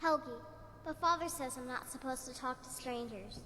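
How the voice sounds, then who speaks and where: A woman's voice: sits high, normal speed, big-room sound, over a noisy crowd, lightly acted. A woman playing the ghost of a shy, innocent little girl in a fantasy game, speaking in a childlike, wary way.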